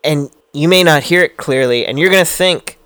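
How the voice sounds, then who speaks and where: Smooth-voiced reflective man. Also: on the high side, normal speed, fairly bright tone, no echo. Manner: earnest, reflective, easy laugh. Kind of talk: casual chat.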